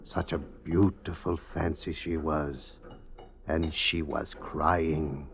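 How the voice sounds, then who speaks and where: Very deep, sly stonemason. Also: male voice, level tone, a bit bright, heavily acted. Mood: wistful, hushed. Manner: slow, folksy old-country speech, coaxing. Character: scheming male stonemason, sly, coaxing poisoner. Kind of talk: old-time radio drama acting. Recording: muffled, noisy vintage radio recording.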